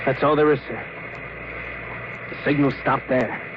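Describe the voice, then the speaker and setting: An actor playing an earnest, deferential spaceship officer who pleads respectfully, in 1950s radio drama style. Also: male voice, medium pitch, fairly even intonation, measured pace, close to an everyday voice. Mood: anxious. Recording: dull, faintly noisy old radio recording.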